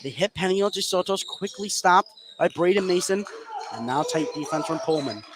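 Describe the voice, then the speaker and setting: A man calling live high school basketball play-by-play from an indoor gym, running through the action and getting excited on big plays. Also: normal speed. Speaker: play-by-play commentator. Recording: noisy live gymnasium ambience.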